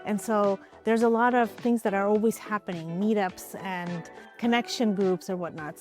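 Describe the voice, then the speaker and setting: A woman from Ukraine talks easily through interview questions as a real estate agent. She is relaxed and conversational, and she often softens her answers with small hedges. Her voice sits mid-range, with soft music faint underneath. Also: medium pitch.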